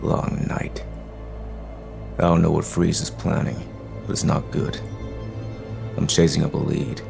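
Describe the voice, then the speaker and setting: A grim, sickly masked vigilante in an audio drama. The voice is an extremely low, gravelly growl, slow and menacing, with music behind it. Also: male voice, flat delivery, heavily acted. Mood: brooding, weary. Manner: slow, terse, brooding, menacing. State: sick, coughing. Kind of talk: audio drama acting with noir narration.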